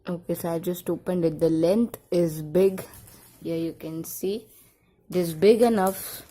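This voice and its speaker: A woman's voice: on the low side, fairly even intonation, unhurried, mellow. A woman casually presenting a product unboxing, speaking in short, simple, repetitive phrases as she demonstrates. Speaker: product review vlogger.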